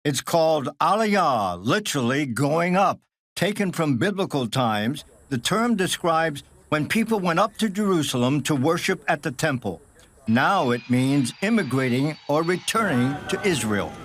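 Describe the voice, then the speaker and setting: A man narrating a television news report in a calm, explanatory way. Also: on the high side, unhurried. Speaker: news correspondent.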